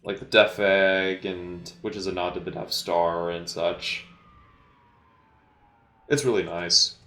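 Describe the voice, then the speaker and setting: A man giving casual, unscripted movie commentary as an amateur, speaking hesitantly with halting, trailing pauses. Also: low voice, fairly even intonation, sharp tone, reverberant.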